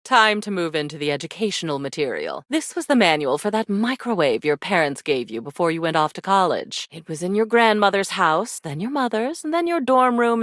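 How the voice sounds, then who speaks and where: Game role: mysterious macabre temptress, lowish and very dry-recorded, sly, teasing, grandly dramatic. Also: female voice, no echo. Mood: fond, dry. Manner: theatrical, sly, flirtatious, darkly teasing, drawling endearments. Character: mysterious, devious, macabre-loving living memory, theatrical teasing woman. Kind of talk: video game character acting.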